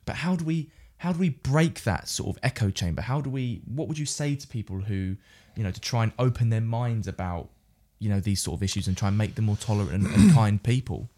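Podcast host guy, lowish voice, earnest, long winding questions. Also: expressive, fairly quick, few pauses, warm tone. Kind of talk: interview questions.

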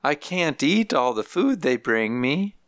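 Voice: medium pitch, very animated, measured pace, no echo, voice pushed up. A narrator voicing a frail elderly grandmother in a nursing home, speaking in a plaintive, complaining way. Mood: weary complaint. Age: older. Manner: plaintive, soft-spoken complaining.

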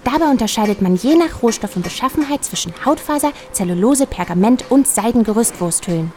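An actress playing a talkative, helpful factory guide in a radio play, eagerly explaining things. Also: female voice, fairly high pitch, lively intonation, a little fast, darkish tone, a little hiss or hum, natural voice. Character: chatty, helpful woman guiding a visitor round village factory.

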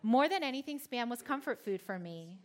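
A woman's voice: fairly high pitch, lively intonation, normal speed. Woman giving a storytelling talk. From Philadelphia, United States. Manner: warm storytelling with playful asides to the crowd. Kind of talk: personal talk to a live audience.

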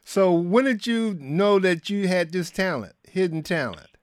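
Casting note: male radio host, fairly high, smooth and clear voice, dry studio sound. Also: expressive, unhurried, no room sound. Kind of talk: broadcast interview.

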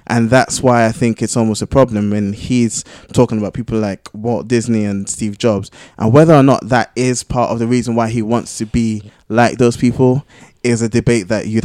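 A man in a casual podcast discussion, arguing his points in a halting way as he thinks them through. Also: fairly low pitch, darkish tone, dry recording, clean studio sound. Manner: halting, thinking aloud, argumentative. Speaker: podcast panellist. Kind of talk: casual discussion among friends.

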